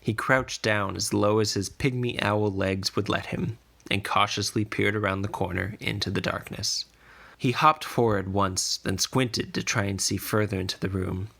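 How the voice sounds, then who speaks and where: A man narrates a scripted fantasy adventure. His voice sits low and has a creaky, gravelly grain. He tells the story in measured, deliberate sentences. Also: steady tone, sharp tone. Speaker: podcast narrator.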